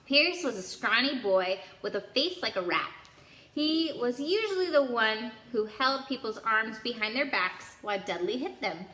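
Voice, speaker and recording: A woman reading a children's story aloud in an expressive storytelling style. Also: a little high, unhurried. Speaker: story-time reader. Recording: echoey room with faint background noise.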